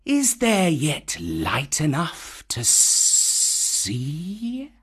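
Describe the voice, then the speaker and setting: A narrator voicing a huge old python, commanding and menacing, with slow, drawn-out hypnotic delivery, in a storybook reading. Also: mid-range voice, huge pitch swings, a bit bright, husky, pitched above the performer's own voice, exaggerated voice.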